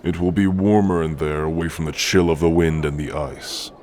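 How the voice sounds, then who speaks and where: A man voicing a stern, gruffly caring orc warrior-healer in an ASMR roleplay, speaking in a formal, commanding way. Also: rumbling low, steady tone, normal speed, a little mellow, a little hiss or hum. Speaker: roleplay voice actor.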